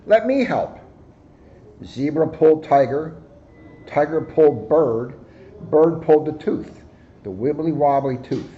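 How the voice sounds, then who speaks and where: A man reads a picture book to children at a slow, deliberate pace. His voice is mellow and a little high, with expressive storytelling. The recording sounds slightly dull. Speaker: guest story reader, police officer.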